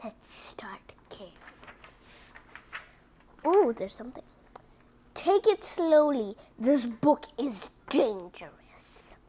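A woman hosting a children's picture book read-aloud, reading deliberately word by word and chatting to her listeners. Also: high-pitched, sing-song, warm tone, slight background noise.

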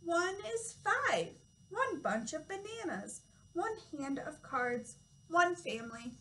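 Young female preschool teacher, high-pitched, simple instructive read-aloud delivery for toddlers, noisy room recording. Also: sing-song, slow pace, a bit bright. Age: young adult. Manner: simple, instructive phrasing with questions to children. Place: small classroom, indoors.

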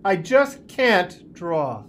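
Stubborn storybook schoolgirl, played by a man, emphatic, raised swinging pitch, drawn-out words. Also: big pitch swings, a bit bright, a little hiss or hum, full character voice. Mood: sulky. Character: young schoolgirl, stubborn, unsure of her art.

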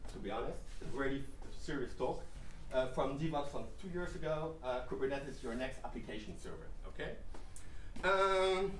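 A man's voice: fairly high pitch, distant mic, a little hiss or hum. A man giving a humorous, self-mocking keynote to a live audience in an event room, talking into a handheld mic. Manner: joking, self-mocking, playful asides. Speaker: keynote speaker. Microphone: handheld mic, filmed from the back of the room. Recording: echoey room recorded from afar.

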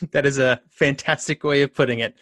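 A man's voice: mid-range voice, fairly bright tone, no echo, clean studio sound. A man hosting a history interview podcast, reading a scripted introduction and then asking questions casually. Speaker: podcast host.

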